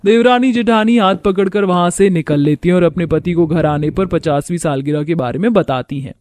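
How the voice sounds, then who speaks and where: A woman's voice: lowish voice, brisk, darkish tone. A woman reading a scripted moral story as its narrator.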